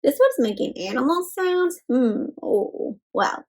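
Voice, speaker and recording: A woman's voice: sits high, unhurried. A woman reading a storybook aloud to young children, expressively and interactively, with encouraging questions in simple words. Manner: expressive, gasps and asks listeners questions. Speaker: read-aloud host.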